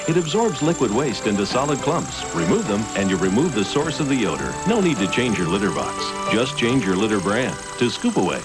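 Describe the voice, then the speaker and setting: A man's voice: lowish voice, big pitch swings, fairly quick, hardly any pauses. A man reading scripted commercial narration as an announcer. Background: music.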